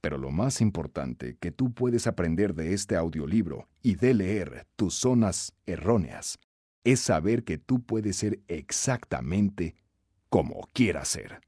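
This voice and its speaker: A man narrating a scripted self-help audiobook in an emphatic, instructive, expressive way. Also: low voice, takes it slowly, slightly rough, dry recording, studio quality.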